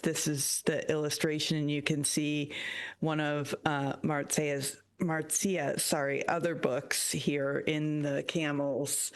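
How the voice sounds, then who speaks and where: Female podcast host; slow, enthusiastic, meandering interviewer. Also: low-pitched. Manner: enthusiastic, rambling questions. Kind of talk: casual interview.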